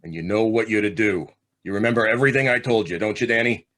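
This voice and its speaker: An actor playing a vengeful, manipulative convict on death row in an old-time radio drama, talking in tough street slang. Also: male voice, fairly low pitch, fast talker, fairly bright tone, natural voice. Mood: bitter, commanding. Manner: tough, slangy, clipped commands. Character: hard, scheming condemned gangster, vengeful manipulative older brother.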